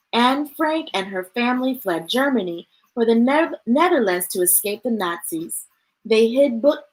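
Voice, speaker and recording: Woman reading picture books aloud, fairly high. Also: poor audio. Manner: chatty asides to viewers. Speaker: children's storytime reader.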